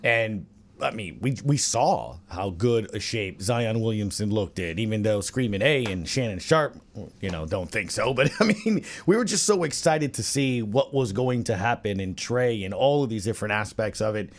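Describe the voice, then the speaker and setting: A man's voice: fairly low pitch, expressive, normal speed. A man hosting a casual sports discussion, speaking informally and conversationally as he leads the panel. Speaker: podcast host leading the discussion.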